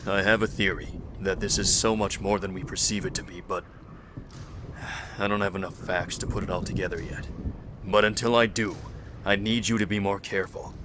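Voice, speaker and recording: A man's voice: sits low, steady tone, normal speed, noisy background, natural voice. A man playing a guarded, suspicious man with security training in an audio drama, speaking seriously and with authority. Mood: grave, guarded.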